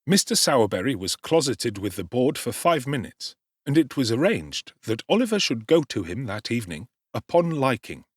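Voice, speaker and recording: A man narrating a classic novel with expressive, theatrical delivery. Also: medium pitch, normal speed, husky, no echo, studio quality. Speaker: audiobook narrator. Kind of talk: dramatic audiobook narration.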